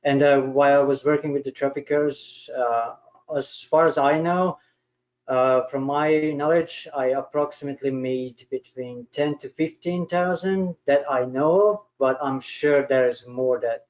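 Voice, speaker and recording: A man from Hungary, answering interview questions slowly and matter-of-factly on a video call. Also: medium pitch, a little mellow. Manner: slow, careful, matter-of-fact. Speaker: guest speaker. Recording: dull lo-fi video call audio.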